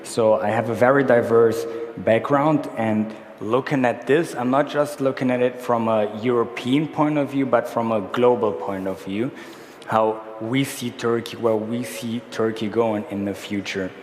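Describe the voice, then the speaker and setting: A man's voice: mid-range voice, fairly even intonation, a little slow. A man from Brazil gives a business talk in a large conference hall. He explains a slide step by step and often restarts his phrases. The sound carries a long, roomy reverb with faint hum behind it. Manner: self-correcting, explaining slides. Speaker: conference speaker. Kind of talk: conference presentation. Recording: echoey hall with faint room noise.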